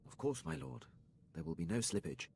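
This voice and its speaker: Dutiful imperial officer, precise military diction, deep, gravelly edge, faint background noise, scripted game dialogue. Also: male voice, big pitch swings, a little fast, darkish tone. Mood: stiff, awkward.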